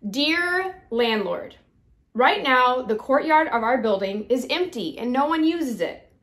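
A woman's voice: sits high, animated, unhurried, a bit bright. A woman voicing an eager, persistent little girl from a children's storybook in an earnest, pleading manner. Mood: earnest, hopeful. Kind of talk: children's storybook read-aloud.

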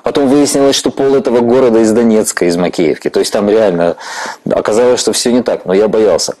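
A man's voice: fairly low pitch, fairly quick, dark tone, low-quality recording. A man in his forties from Ukraine who interviews his guest on a church stage, candid and reflective, laughing easily. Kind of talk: conversational interview.

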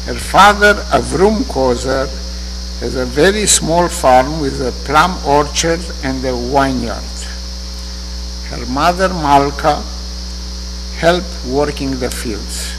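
An older man with a Romanian accent giving a measured, earnest eulogy from a lectern in a synagogue sanctuary. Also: medium pitch. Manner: slow, measured, earnest delivery. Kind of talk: memorial eulogy. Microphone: lectern microphone. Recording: reverberant hall with hum.

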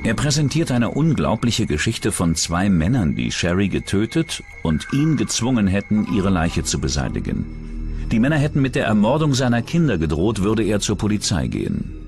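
Deep-voiced man narrating true crime over music. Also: big pitch swings, normal speed, a little mellow. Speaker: documentary narrator.

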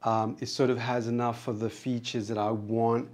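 A middle-aged man talks in a casual vlog style with a nasal, medium-pitched voice. He explains things in a chatty, rambling way. He is recorded on a clip-on mic in a small treated home studio. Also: little pitch movement, moderate pace, darkish tone. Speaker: vlogger. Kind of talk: casual vlog, gear walkthrough. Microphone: wireless clip-on mic on chest.

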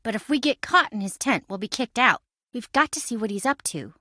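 A woman's voice: on the high side, expressive, a little fast, sharp tone, dry recording, studio quality. An actress voicing a chatty, impulsive young woman in a video game, breezy, wry and self-dramatizing. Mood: breezy, dramatic. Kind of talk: video game voice acting, conversational.

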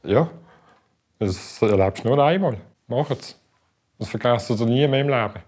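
A Swiss German man in his fifties talks in a relaxed living-room interview. His voice sits in a mellow, warm middle register. He is eager and chatty, dotting his talk with small fillers. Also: mid-range voice, unhurried. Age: 50s. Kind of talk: casual interview chat.

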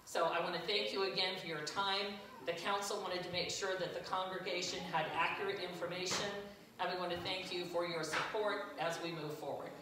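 An older woman reading church council announcements from her notes, loud and matter-of-fact, her voice a little low for a woman. She was picked up by a far-off camera in a large church, so the sound is very echoey and distant, with a clear hiss underneath. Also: fairly even intonation, fairly quick. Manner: loud, plain reading with a self-deprecating aside. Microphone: far camera at the back.

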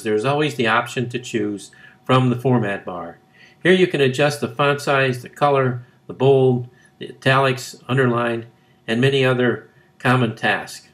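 A man narrating scripted instructions as a tutorial, reading in measured phrases. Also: mid-range voice, fairly bright tone.

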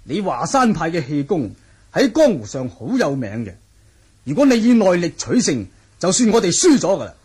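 Forceful martial-arts man, Hong Kong Cantonese radio. Husky, emphatic, widely swinging intonation. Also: fairly high pitch, wildly swooping pitch, moderate pace, heavily acted. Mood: formal, emphatic. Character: formal, forceful martial-arts fighter of a sworn brotherhood, male. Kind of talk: 1980 radio drama acting.

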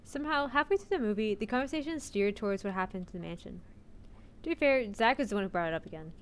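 A woman's voice: mid-range voice, animated, quick pace, a little hiss or hum. A woman streamer reading a visual novel's narration aloud into a close mic.

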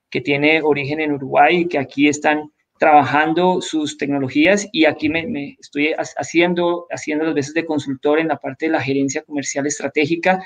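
A man in his thirties from Colombia, a tech entrepreneur speaking as a guest on a live video call, measured and restarting his phrases often. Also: fairly high pitch, a bit bright. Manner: measured, many restarts and repetitions, formal. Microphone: video call audio. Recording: dull lo-fi call audio.

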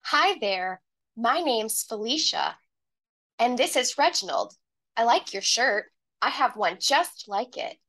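A woman voicing a lively, playful young girl in a children's storybook read-aloud, given to exclamations. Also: fairly high pitch, slow pace, heavily acted. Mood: friendly.

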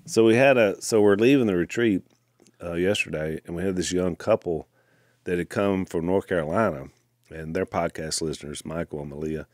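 A middle-aged man tells stories with quick asides and lots of energy. His voice sits a bit low and sounds close and dry on a studio mic, with no room sound. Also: no room sound. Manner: animated storyteller, quick asides. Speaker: podcast co-host. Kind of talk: casual storytelling chat. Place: wood-paneled studio room. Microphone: studio mic on boom arm, headphones.

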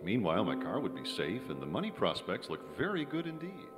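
Cynical Hollywood writer: low American voice, animated hard-boiled radio-play delivery, hall reverb, music. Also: male voice, a little fast. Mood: wry. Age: middle-aged. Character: wry, cynical young Hollywood screenwriter narrating his story. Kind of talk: old-time radio drama narration and dialogue. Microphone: vintage-style stand microphone.

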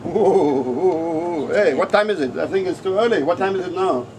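Middle-aged man from Africa, non-native English, higher-pitched voice, casual teacher vlog, light background noise. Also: normal speed, a little mellow. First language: not English, his third language. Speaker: English teacher and trainer, vlogger. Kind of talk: casual vlog talk to camera. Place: open-sided resto-inn in the Philippines. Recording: noisy open-air ambience behind voice.

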